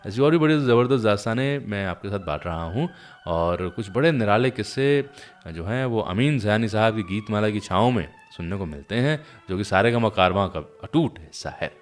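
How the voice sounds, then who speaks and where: A man's voice: on the low side, expressive, moderate pace, soft background music. A man hosting a show, telling anecdotes in a conversational, dramatic storytelling way. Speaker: radio host. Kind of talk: conversational storytelling about old cinema.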